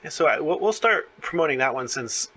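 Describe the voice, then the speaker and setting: A man's voice: on the high side, animated, a little fast, pushed and bright, husky. A man hosting a podcast interview, chatting casually in a friendly, enthusiastic, somewhat rambling way.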